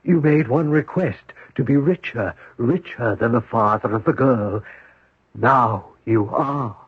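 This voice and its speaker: An actor playing a supernatural giant servant, formal, measured and deferential, in a 1970s radio drama. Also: male voice, medium pitch, husky. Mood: politely attentive. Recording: roomy, muffled 1970s radio broadcast.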